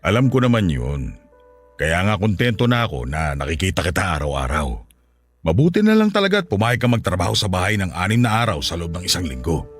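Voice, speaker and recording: A man's voice: low voice, sing-song, a little fast, soft background music. Man acting a lustful Filipino widower, wildly swooping delivery. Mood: lustful, eager. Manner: suggestive, coaxing, chuckling seducer. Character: lustful middle-aged Filipino widower, coaxing, boastful, smitten. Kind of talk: Tagalog radio drama acting.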